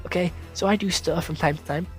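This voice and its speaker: A fairly high-voiced man gives a loose, rambling TV review, swearing freely and getting worked up as he goes. His words trip over each other now and then when he speeds up. Soft music plays underneath him. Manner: rambling, swears often, excitable; stutters at times when talking fast. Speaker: TV series reviewer. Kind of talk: casual spoken series review.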